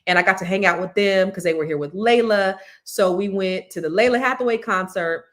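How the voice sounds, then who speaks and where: A woman hosting a live show, chatting excitedly to viewers; her voice is deeper than usual from cigar smoke. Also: fairly quick. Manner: chatty, excitable storytelling with many fillers. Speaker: host of a live money and news show. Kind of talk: casual chat to viewers.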